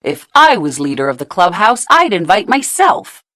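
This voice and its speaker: A woman's voice: mid-range voice, sing-song, moderate pace, fairly bright tone, no echo, studio quality. A woman voicing a scheming, sulky male cartoon cat in a storybook, given to exclaiming. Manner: exclaiming, stammering when flustered. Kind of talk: storybook character voice.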